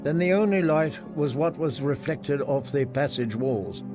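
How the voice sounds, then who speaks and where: A man reading a fantasy story in audiobook style, with measured storytelling delivery. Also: on the high side. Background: soft music.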